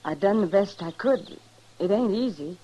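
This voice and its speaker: An actress playing a weary, downtrodden homesteader wife with a rural American accent, plaintive and meek, in an old-time radio western. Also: female voice, mid-range voice, lively intonation, moderate pace, warm tone, lightly acted. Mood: pleading, weary. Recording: noisy vintage radio recording.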